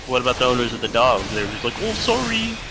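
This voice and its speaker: A man's voice: mid-range voice, very animated, fast talker. Man chatting casually over noisy audio. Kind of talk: casual chat.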